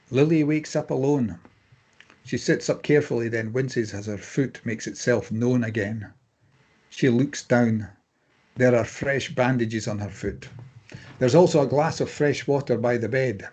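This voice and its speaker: A man reads scene directions as the narrator of a drama script. His voice sits at a medium pitch and has a husky grain. The delivery is scripted narration. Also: a little mellow. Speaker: narrator reading stage directions.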